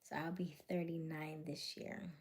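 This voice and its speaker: A woman in her late thirties hosting a casual livestream chat, teasing and playful, slipping into quiet asides. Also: sits low, level tone, measured pace. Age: late 30s. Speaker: livestream host. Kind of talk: casual live chat with viewers.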